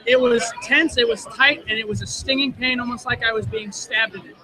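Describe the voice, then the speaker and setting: A man's voice: extremely high pitch, steady tone, a little fast, sharp tone, poor audio. A man in his twenties, an injured wrestler, talking earnestly and confidently in a casual interview at a live wrestling show. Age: 20s. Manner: earnest and self-assured, plain talk. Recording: crowd noise at a live wrestling event.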